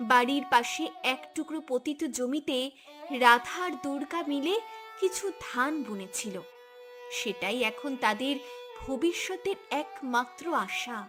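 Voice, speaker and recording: A woman narrator reading a dramatic scripted story for a cartoon. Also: high voice.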